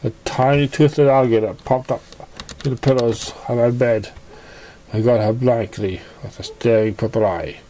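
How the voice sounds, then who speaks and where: Man haltingly reading a story aloud. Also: mid-range voice, steady tone, dark tone, a little hiss or hum. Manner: halting, stumbles over words and rereads. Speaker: amateur story reader.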